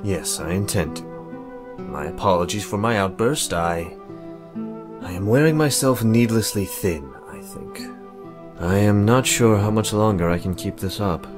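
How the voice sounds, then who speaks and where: A tired, apologetic young man from a fantasy visual novel, voiced by a woman speaks in a low, halting voice. His manner is formal, and music plays clearly behind him. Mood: weary, remorseful.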